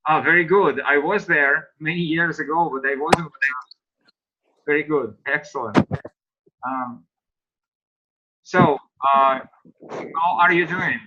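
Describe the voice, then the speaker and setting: A man teaching English online, asking students slow, patient questions and explaining grammar. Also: a little high, sharp tone, dull audio.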